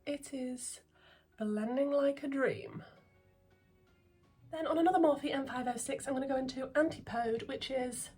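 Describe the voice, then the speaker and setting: Chatty woman with a husky voice. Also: high-pitched. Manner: chatty and excitable, rambling with frequent ums, drops to near-whisper at times. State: getting over a cold. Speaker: makeup vlogger. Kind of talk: casual beauty vlog chat. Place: indoors at home, filming makeup at a mirror.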